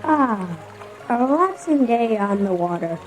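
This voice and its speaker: A woman's voice: fairly high pitch, sing-song, unhurried, faint music behind, rough recording. A woman voicing a wry, quipping schoolchild in a children's read-along story.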